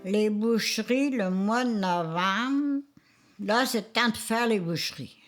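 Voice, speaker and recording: A woman in her nineties with a Charlevoix Quebec French accent, reminiscing in a halting, rambling way with many restarts. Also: medium pitch, soft background music. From Quebec, Isle-aux-Coudres. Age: 90s. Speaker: interviewee.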